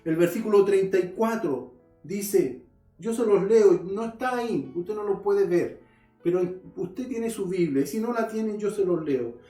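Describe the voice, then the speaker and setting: A man's voice: high-pitched, expressive, a little slow, mellow, far from the mic, faint music behind. A male pastor preaching a sermon, earnestly addressing his listeners and reading scripture aloud. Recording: roomy echo.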